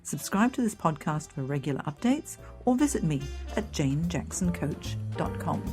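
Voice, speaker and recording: A woman's voice: low-pitched, sing-song, moderate pace, darkish tone, soft background music. A middle-aged woman from Hong Kong hosting a careers podcast, upbeat and enthusiastic as she interviews a guest. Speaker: podcast host, career coach. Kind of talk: scripted intro then interview chat.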